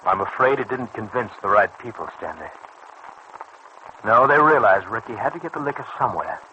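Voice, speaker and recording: Suave, scheming bootlegging boss, bright-voiced. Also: male voice, fairly low pitch, animated, brisk, highs cut off. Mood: quietly menacing. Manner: suave, formal, condescending politeness. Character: smooth, scheming male bootlegging boss, coolly double-crossing. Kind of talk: old radio serial acting. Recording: faintly noisy old radio recording.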